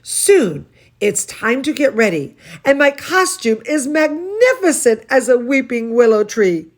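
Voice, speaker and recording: Woman, children's storyteller, higher voice, slow pace, expressive, cooing, bedtime read-aloud. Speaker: children's storyteller, dance studio teacher.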